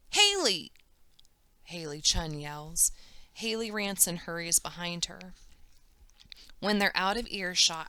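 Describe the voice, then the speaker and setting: Slow, bright-voiced woman reading a story. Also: on the low side, clean tone, dry recording. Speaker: teacher reading aloud. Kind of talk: children's novel read aloud with character voices.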